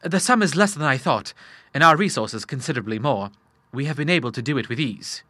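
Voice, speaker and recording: A man's voice: medium pitch, lively intonation, brisk, sharp tone, dry recording. A man voicing an earnest young businessman in money trouble who speaks formally and with feeling.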